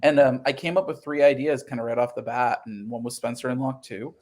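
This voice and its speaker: A man's voice: medium pitch, steady tone, a little fast, darkish tone. A man in his thirties talking as an interview guest, explaining his creative work earnestly and acting out quotes as he goes. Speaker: interview guest, comic book writer. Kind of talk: conversational interview storytelling.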